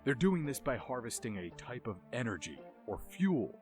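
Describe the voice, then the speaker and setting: Man, mid-pitched voice, very varied intonation, scripted video essay narration, dramatic storyteller delivery, music underneath. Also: big pitch swings, normal speed, a little mellow.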